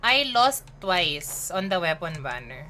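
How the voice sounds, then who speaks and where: A woman's voice: lowish voice, sing-song, a little slow, sharp tone, a little hiss or hum. A woman, chatting casually on a game live stream, upbeat, mixing in Tagalog and laughing often. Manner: chatty and upbeat, mixes English with Tagalog, laughs often, greets chat by name.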